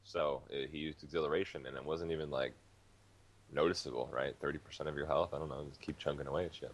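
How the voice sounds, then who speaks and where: A man with a very low, deep voice chats as a co-host on a casual gaming podcast. He talks in a loose, rambling way, repeating phrases as he thinks aloud. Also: steady tone, fast talker.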